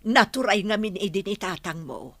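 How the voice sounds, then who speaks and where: A Filipino woman playing a concerned, gentle middle-aged mother in a radio drama, coaxing as she talks. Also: mid-range voice, animated, normal speed, dry recording. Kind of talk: Philippine radio drama acting.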